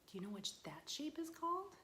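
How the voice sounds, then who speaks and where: A young woman librarian leading a storytime for young children, asking questions in a sing-song voice and dropping to soft whispers for suspense. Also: sits high, sing-song, fairly quick, a little hiss or hum.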